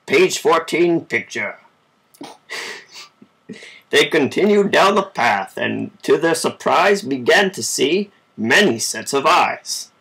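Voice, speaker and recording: Male storybook reader, informal, measured, creaky, husky. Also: fairly high pitch, big pitch swings, a bit bright, rough recording. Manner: informal, measured amateur reading. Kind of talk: reading a children's story aloud.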